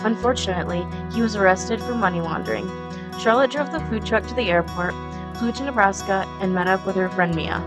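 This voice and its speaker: A woman's voice: medium pitch, fairly quick. A woman reading scripted narration for an amateur radio play. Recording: music playing clearly behind the voice.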